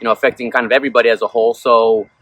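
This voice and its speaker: A man's voice: medium pitch, little pitch movement, very fast, a bit bright, dry recording. A young man born in Peru, a musician being interviewed, who rambles casually and fills his talk with "you know" and "um". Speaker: musician guest. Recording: noisy background.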